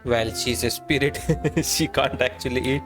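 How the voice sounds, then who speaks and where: A man talks casually as he streams a video game. His voice is mid-pitched, and game music plays clearly behind it. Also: steady tone, moderate pace. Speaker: live streamer. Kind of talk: casual game livestream commentary.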